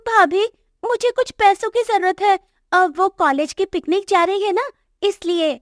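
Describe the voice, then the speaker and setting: A woman's voice: very high voice, unhurried, no echo, studio quality. A woman voicing a sweet, girlish young college-going sister-in-law in a moral story, polite in manner. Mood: hesitant, asking. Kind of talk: acted moral story dialogue for animation.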